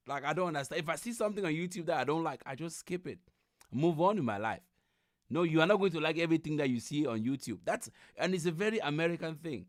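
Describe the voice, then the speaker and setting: A man's voice: on the high side, no echo. Male livestream host, casual and incredulous reaction commentary, close dry sound. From Cameroon.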